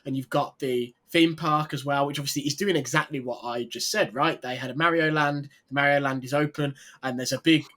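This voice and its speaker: A man's voice: mid-range voice, fairly even intonation, brisk, few pauses, a bit bright. A man chatting eagerly on a video call, rambling and trailing off as he talks. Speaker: games journalist, panelist. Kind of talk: casual video call chat.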